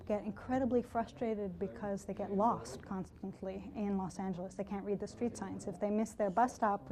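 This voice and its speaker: A woman's voice: medium pitch, mellow. A woman, a center director, explaining her students' struggles in an interview, with some fillers. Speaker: executive director.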